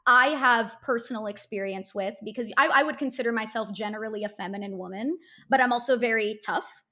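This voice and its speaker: A young actress guesting on a chat show speaks in an outspoken, loud manner and slips in jokes as she talks. Her voice sits a little high for a woman. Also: a little fast. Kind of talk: casual interview chat.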